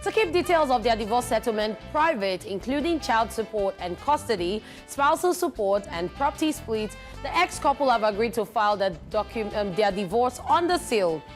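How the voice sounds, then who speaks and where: A woman from Nigeria co-hosting an entertainment show, reading celebrity news briskly with lively emphasis. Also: a little high, soft background music.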